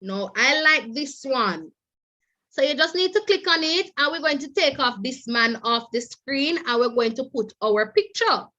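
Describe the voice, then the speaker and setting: A woman's voice: high voice, expressive, a little slow, bright, forceful tone, muffled recording. A woman leading an online training session for teachers. She sounds stuffy and nasal from the flu, repeats herself and keeps calling her listeners "teachers" as she coaxes them along. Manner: repetitive, coaxing, keeps addressing "teachers".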